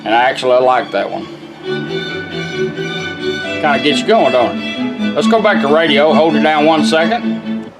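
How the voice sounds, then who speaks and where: Folksy Southern man, slightly high voice. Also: sing-song, normal speed, long pauses, rough recording. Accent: Southern American. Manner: folksy, plain-spoken, instructional. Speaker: car radio builder demonstrating product. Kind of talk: casual hands-on product demonstration. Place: indoor workbench. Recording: music playing behind the voice. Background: music and radio from demonstrated car stereo.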